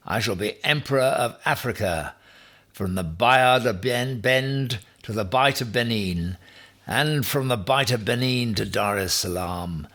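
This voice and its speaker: A man's voice: on the low side, bright, forceful tone, slightly rough, heavily acted. A man voicing a bossy, boastful would-be emperor in a children's story, with a pompous, emphatic, commanding manner. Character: Ham, bossy, boastful man, self-styled future emperor.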